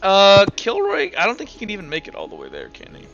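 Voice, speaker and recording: Male game master, high forceful voice, informal tabletop storytelling over soft music. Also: wildly swooping pitch, quick pace, lo-fi sound. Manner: casual, informal, sound-effect-laden descriptions. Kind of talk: narrating a tabletop roleplaying game.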